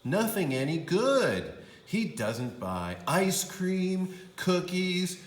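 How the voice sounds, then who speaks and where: A man puts on a stubborn little girl from a storybook, pitched up and very animated. She pleads and protests slowly and loudly, with room echo around her. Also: full character voice. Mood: grumbling.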